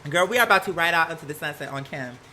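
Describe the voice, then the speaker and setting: A live stream host with an American accent chatting casually and gossiping, sassy and animated, slangy and rambling from one aside to the next. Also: medium pitch, very fast, slight background noise. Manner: sassy, slangy, peppered with "girl" and "honey". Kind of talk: casual live chat to viewers, gossipy commentary.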